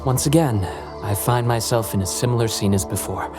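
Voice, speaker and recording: A man's voice: medium pitch, brisk, close to an everyday voice. A man playing a courteous, eloquent young wanderer in a video game, serene and grateful, with formal, ornate phrasing. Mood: pleased, grateful. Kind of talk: scripted video game acting. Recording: game music behind the voice.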